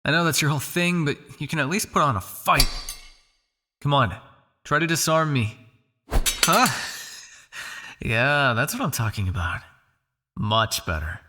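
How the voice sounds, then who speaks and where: A man's voice: a little high, very animated, unhurried, pauses often, background noise. A man playing a smug, possessive vampire crime lord in an ASMR roleplay, with a taunting, silky, condescending delivery. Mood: taunting, goading. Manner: taunting, silky, condescending with sighs and soft shushing.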